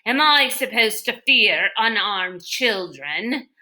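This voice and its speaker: A woman's voice: mid-range voice, animated, slow, pushed and bright, heavily acted, poor audio. Woman playing an imperious, irritable male villain boss. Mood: displeased. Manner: formal, clipped, sarcastic.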